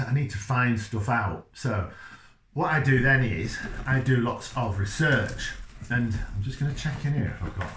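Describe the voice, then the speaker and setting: A man's voice: medium pitch, a bit bright. Middle-aged male, Leeds accent, informal explainer; earnest, self-interrupting, roomy sound with faint noise. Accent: Leeds, Yorkshire. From Leeds, England. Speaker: children's author. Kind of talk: informal explainer talk to camera. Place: small indoor room by a whiteboard. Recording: echoey room, faint background noise.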